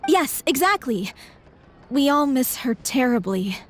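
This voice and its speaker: High-voiced earnest troupe girl. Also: sing-song, a little slow. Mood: mournful. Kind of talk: video game voice acting.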